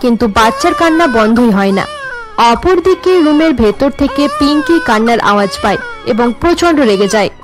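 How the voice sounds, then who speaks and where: A woman's voice: fairly high pitch, animated, brisk, music in the background. A woman reading scripted narration for a cartoon family story.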